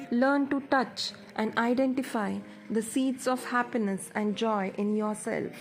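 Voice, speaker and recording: Woman doing guided meditation, really slow measured talking, bit of music behind. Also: mid-range voice, gravelly. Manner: measured, instructive.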